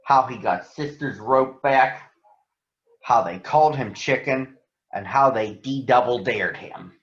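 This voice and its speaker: A man reading a children's story aloud in an expressive, measured manner. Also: medium pitch. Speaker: storybook reader. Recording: dull, echoey room.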